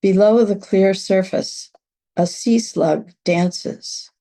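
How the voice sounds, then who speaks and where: An older woman, over sixty, gives a measured, deliberate reading of literary memoir prose. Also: medium pitch, warm tone. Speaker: writer reading own work.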